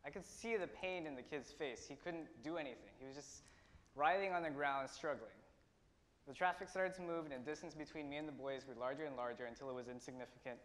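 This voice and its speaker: A teenage man from India tells a personal story in an earnest way, pausing and restarting as he goes. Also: on the high side, a bit bright. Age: teens. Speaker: student speaker. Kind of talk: prepared personal talk. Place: auditorium stage. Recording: long hall reverb.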